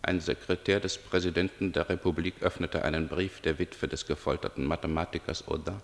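A man reading scripted literary narration for a radio play in a measured, observational way. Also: low-pitched, steady tone, creaky, long reverb tail.

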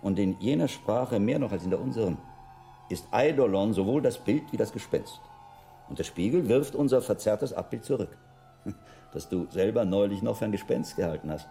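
A shrewd older scholar monk in a radio drama. His low voice is gravelly and lively, musing deliberately and teaching as he investigates, with faint music behind. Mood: musing, intrigued. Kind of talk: German radio drama acting.

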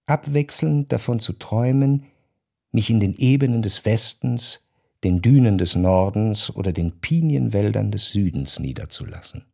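An actor playing an introspective, yearning gentleman who narrates a literary radio drama in a measured, reflective way. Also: on the low side, creaky. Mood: musing.

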